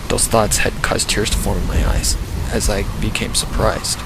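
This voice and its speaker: A man's voice: fairly low pitch, flat delivery, moderate pace, gravelly, heavy background noise. A man reading a story dramatically in the first person, as a fan fiction narrator. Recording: loud rain and thunder behind voice. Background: storm sound effects.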